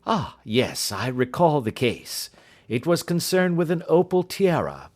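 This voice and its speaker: A man voicing a brisk, confident, courteous gentleman detective in an audiobook, speaking in precise, formal Victorian phrases. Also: on the high side, sing-song, voice pushed up. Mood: courteous, businesslike. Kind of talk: audiobook character voice.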